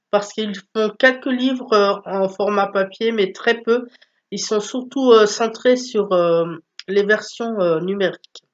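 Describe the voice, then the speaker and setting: A woman's voice: mid-range voice, lo-fi sound. A woman with a stuffy nose from a cold, chatting casually about her book haul with many hesitations. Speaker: book vlogger.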